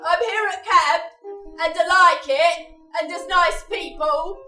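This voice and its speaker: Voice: very high voice, slow, sharp tone, echoey room, faint music behind, full character voice. A woman voicing an eager, naive puppet kid with a giggly, earnest manner in an improvised comedy show. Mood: giddy, proud. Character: eager, naive puppet kid Gerald, proud hot-dog lover.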